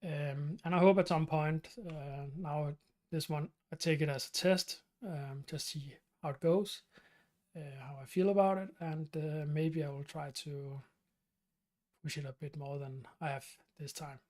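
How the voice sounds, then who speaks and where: A man from Denmark with a Danish accent hosts his channel's live stream Q&A. He talks in a halting way, with many fillers and restarts. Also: fairly high pitch, steady tone, a little mellow. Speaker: channel host.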